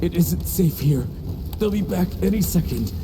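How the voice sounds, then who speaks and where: Gentle, wounded, strained father. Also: sits high, lively intonation, normal speed, background noise. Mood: tender, urgent. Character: gentle, wounded father urging his daughter away. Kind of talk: dramatic video game voice acting.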